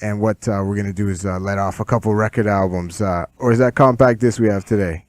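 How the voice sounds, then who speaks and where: A man hosting a radio interview, speaking haltingly with many fillers. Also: on the low side, steady tone, no room sound. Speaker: radio host. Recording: 1990s FM radio studio.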